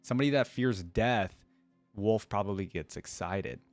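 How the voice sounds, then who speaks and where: A man's voice: lowish voice, normal speed, no room sound, faint music behind. A man hosting a reaction video, switching between casual commentary and reading aloud.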